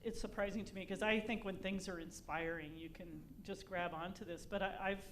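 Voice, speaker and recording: A woman's voice: medium pitch, fairly even intonation, fairly quick. Female university leader on a panel, emphatic and upbeat, faint background hum. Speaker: research vice chancellor on a panel. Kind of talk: unscripted panel remarks.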